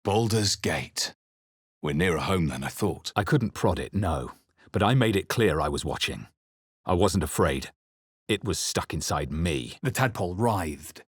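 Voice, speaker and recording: A brooding fantasy adventurer for a video game. The voice sits very low with a husky grain, recorded dry and close. Delivery is measured, intense and theatrical. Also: male voice, animated, dry recording, full character voice. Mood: wary, musing. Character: male fantasy adventurer hero, brooding, wary, resolute. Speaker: player character voice. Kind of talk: scripted video game voice lines, dramatic narration.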